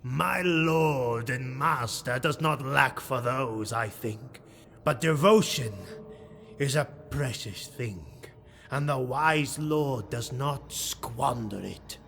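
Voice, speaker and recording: A man voicing a proud, hate-filled dark-elf nobleman, declaiming defiantly and theatrically in a fantasy audiobook. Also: mid-range voice, slow, vocal fry, soft background music. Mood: fervent flattery.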